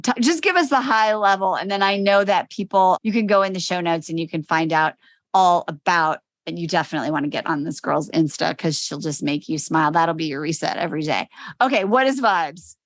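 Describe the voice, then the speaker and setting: A woman's voice: on the low side, a little fast, dry recording. A woman hosting a podcast interview, talking in a bubbly, chatty way and swearing casually. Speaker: podcast host.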